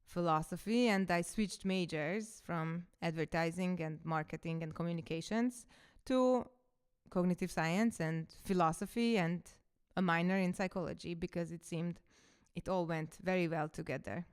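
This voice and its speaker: A woman's voice: on the low side, moderate pace, clean tone. A woman in her thirties, a coach and podcaster whose first language is Hungarian, telling her story in a reflective, casual monologue.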